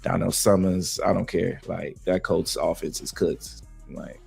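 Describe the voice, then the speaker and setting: A man co-hosting a podcast, talking sports in a loose, slangy way with a voice that is going hoarse. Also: sits low, a little slow, faint music behind. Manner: loose, slangy, animated banter with fillers. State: losing his voice. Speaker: podcast co-host. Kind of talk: casual sports talk.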